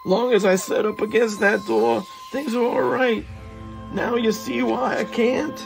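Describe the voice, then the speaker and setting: A man putting on a very old doorkeeper who has sat at hell's gate for ages. He drawls in a folksy way and calls younger men sonny, pitched a little higher than the reader's own voice, with soft music underneath. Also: fairly even intonation, measured pace, creaky, heavily acted. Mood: ominous. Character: ancient old man guarding hell's door.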